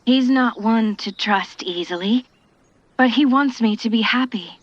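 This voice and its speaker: A woman's voice: on the high side, unhurried, no room sound. A woman playing a good-natured, teasing young woman in a fantasy audio drama. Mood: earnest. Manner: teasing, conversational. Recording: dull, dry close recording.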